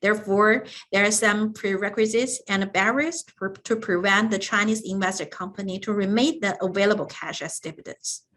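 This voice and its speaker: A woman with a mid-range voice delivers a formal explanatory presentation. She reads from prepared material in a halting way, with frequent hesitations between phrases. The tone is that of an adviser explaining business rules. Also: medium pitch, fairly even intonation, fairly bright tone.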